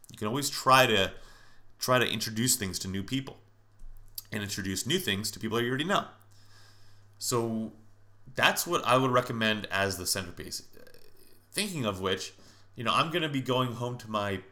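A man's voice: on the low side, bright, forceful tone. An American man hosting a solo podcast in a casual, conversational way, his voice nasal and stuffed up from allergies. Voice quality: nasal, congested. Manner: informal, conversational, mildly profane. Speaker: podcast host.

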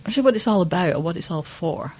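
A woman's voice: on the low side, animated, fast talker, darkish tone, breathy edge. A woman co-hosting a podcast, chatting casually about film in an emphatic, wry, informal way. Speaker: podcast co-host.

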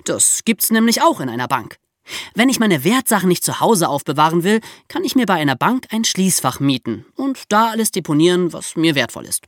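Very animated low-voiced woman podcaster. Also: fairly quick, dry recording, clean studio sound. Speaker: podcast co-host. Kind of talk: lively explanatory true-crime podcast talk.

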